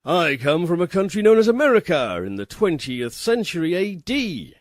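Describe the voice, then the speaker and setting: A man's voice: sits high, very animated, normal speed, dry recording, clean studio sound. A man voicing a world-weary American poet in a storybook reading, wry, sardonic and formal. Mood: declaring.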